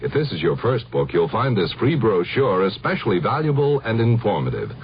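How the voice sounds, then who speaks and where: A man's voice: fairly low pitch, sing-song, a little fast, slightly rough. An American man reading a scripted radio advertisement as an announcer. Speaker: commercial announcer. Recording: muffled vintage radio broadcast.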